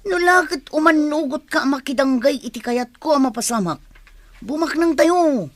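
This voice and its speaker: A high, dry-recorded voice of a scheming younger sister in a radio play. She is money-hungry and pushes her plans with insistent, persuasive delivery. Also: lively intonation, moderate pace, no room sound. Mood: sly, determined. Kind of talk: Ilocano radio drama acting.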